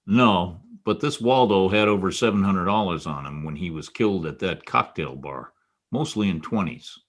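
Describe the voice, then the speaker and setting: A man plays a hard-boiled private eye in a radio detective drama. His voice sits fairly low, with a wry, knowing delivery and a dogged streak. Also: moderate pace. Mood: dry, wry. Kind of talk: old-time radio detective drama re-creation.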